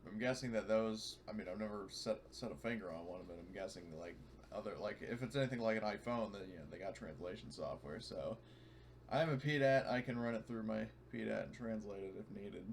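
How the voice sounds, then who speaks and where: Man, tabletop player, slightly low voice, halting explanations, casual chat, background noise. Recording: clear background noise on mic.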